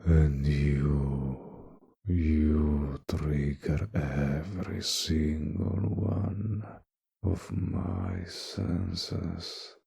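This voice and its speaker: Ancient male vampire played by a man for roleplay audio: very low, heavy gravel, courtly seduction with predatory menace, unhurried. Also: steady tone, mellow, exaggerated voice. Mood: hungry, menacing. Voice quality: deep, gravelly, breathy, dropping into whispers. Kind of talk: intimate roleplay audio drama.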